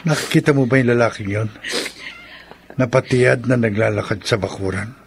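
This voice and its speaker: A Filipino actor playing a cold-blooded, scheming killer in a radio drama, gruff, sneering and domineering. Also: male voice, medium pitch, normal speed, a little hiss or hum, lightly acted. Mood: sly, plotting.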